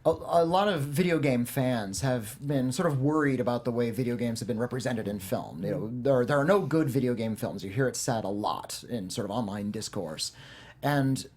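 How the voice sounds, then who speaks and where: A man's voice: mid-range voice, normal speed, a little mellow, breathy edge. A man hosting a film discussion show, talking casually and explaining at length in a chatty way. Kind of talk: casual film review chat.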